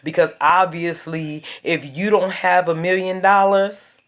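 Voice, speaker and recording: A woman's voice: fairly low pitch, steady tone, unhurried. A woman in her thirties talking straight to her viewers in a casual advice video, emphatic and preachy, repeating her points. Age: 30s. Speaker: YouTuber giving life advice.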